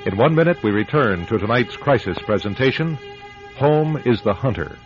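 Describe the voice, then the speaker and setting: Formal male radio announcer, booming, muffled broadcast tone. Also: lively intonation, moderate pace. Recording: muffled old radio broadcast with loud music.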